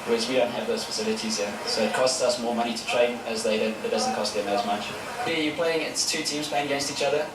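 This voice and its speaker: A man's voice: medium pitch, steady tone, quick pace. A man, a paintball player chatting in an outdoor interview with lots of fillers and restarts. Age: 30s. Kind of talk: casual interview answers. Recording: echoey, far from the mic, with noise.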